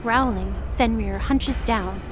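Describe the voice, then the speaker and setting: A woman's voice: a little high, takes it slowly, music in the background. A woman narrating an audio drama in a dramatic, scripted storytelling style.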